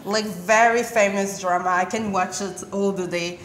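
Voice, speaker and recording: A woman's voice: medium pitch, steady tone, moderate pace. A young woman co-hosting in casual chat, speaking playfully and expressively in simple short phrases. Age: young adult. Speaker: show co-host. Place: indoor studio at a table. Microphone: lapel mic. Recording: roomy echo, faint noise and clicks.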